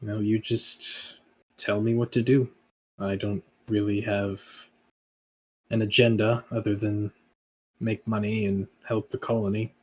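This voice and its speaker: A man playing a laconic, obedient hired gun and veteran in a tabletop roleplay, halting, deferential and deadpan. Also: on the low side, husky. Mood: dry, deferential. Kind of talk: improvised in-character tabletop roleplay.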